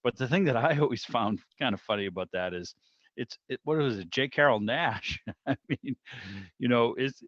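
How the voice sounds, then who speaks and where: A man's voice: mid-range voice, expressive, moderate pace, a bit bright. A man hosting a casual interview, talking in a rambling, discursive way. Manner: rambling, discursive, circles around his point. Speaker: interviewer, club meeting host. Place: indoors on a video call. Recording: muffled, roomy video-call audio.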